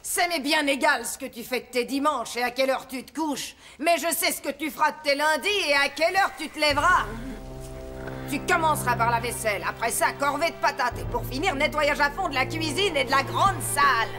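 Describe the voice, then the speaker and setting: An actress playing a bossy, sharp-tongued tavern mistress who nags and scolds in a French cartoon. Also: female voice, high voice, fairly quick, soft background music. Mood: scolding.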